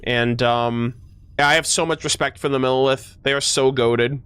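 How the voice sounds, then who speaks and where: A man's voice: mid-range voice, steady tone, normal speed, a bit bright, clear, resonant tone, no room sound. A man doing casual gaming commentary as a streamer, enthusiastic and slangy, often stumbling over his words and reading game text aloud. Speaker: streamer reacting to a video game.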